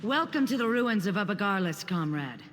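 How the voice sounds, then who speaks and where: An actor playing an earnest, courteous scholarly adventurer in a fantasy video game, speaking in measured, formal phrases. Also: male voice, sits high, very animated, a bit bright, long reverb tail, a little hiss or hum. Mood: solemn. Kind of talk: video game character dialogue.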